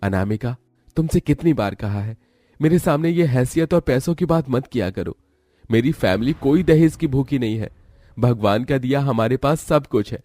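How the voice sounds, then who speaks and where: A man's voice: medium pitch, expressive, moderate pace, dark tone, dry recording, clean studio sound, close to an everyday voice. A man voicing an earnest, devoted young suitor from a wealthy family in a cartoon story. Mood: earnest, reassuring. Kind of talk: Hindi cartoon story voice acting.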